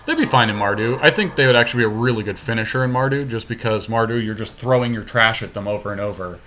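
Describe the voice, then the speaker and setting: A man's voice: fairly low pitch, quick pace, pushed and bright, slight background noise. A man co-hosting a gaming podcast, thinking aloud analytically as he casually talks through plays in an online card game. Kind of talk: casual play-by-play strategy talk.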